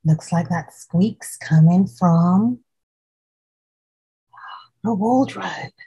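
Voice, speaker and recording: A woman hosting a children's storytime, gently reading a picture book aloud and putting questions to young listeners. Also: on the low side, drawn-out words, slightly rough, rough recording.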